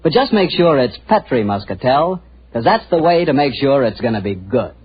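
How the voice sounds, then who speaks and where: American guy, 1940s radio ad announcer, husky, folksy salesman, muffled old recording. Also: very animated, normal speed. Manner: folksy, enthusiastic sales pitch.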